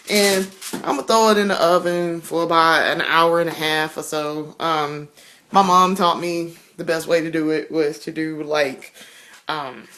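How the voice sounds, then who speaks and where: A woman's voice: sits low, a bit bright, slight background noise. A young woman from South Carolina talks in a thick Southern drawl as she cooks in her home kitchen. She chats loosely, with plenty of ums, frequent laughs and easy swearing. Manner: chatty, laughs often, swears freely, lots of ums. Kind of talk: casual vlog chat while cooking.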